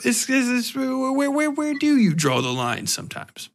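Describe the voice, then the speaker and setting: A man hosting a podcast in casual conversation, talking in a rambling, animated, sweary way. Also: very high voice, normal speed, gravelly, slight background noise. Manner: animated, rambling, profane, with sound effects. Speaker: podcast host interviewing an author. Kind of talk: casual chat.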